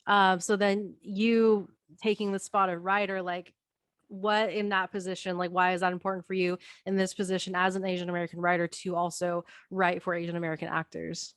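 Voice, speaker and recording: A woman's voice: lowish voice, a little fast, clear, resonant tone, no room sound. A woman hosting an interview podcast, asking questions casually with lots of "so" and "you know.". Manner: relaxed, hedging fillers like "so" and "you know". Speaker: podcast host.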